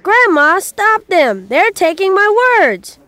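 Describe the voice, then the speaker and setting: An eager young boy from a children's storybook, played by a man. The voice sits high and swings widely, urgent and earnest, in a very dry recording. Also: sing-song, moderate pace, fairly bright tone, no echo, soft background music, full character voice. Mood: alarmed. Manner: exclaiming, urgent. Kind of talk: children's storybook read aloud.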